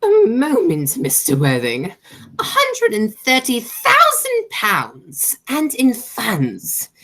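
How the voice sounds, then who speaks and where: A woman's voice: a little high, wildly swooping pitch, slow pace, bright, forceful tone, heavily acted. Woman playing swooping, imperious aristocratic aunt. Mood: suddenly charmed. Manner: pompous, declamatory, stumbles over words. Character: imperious, snobbish, money-minded aristocratic English aunt. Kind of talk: stage comedy as amateur radio play.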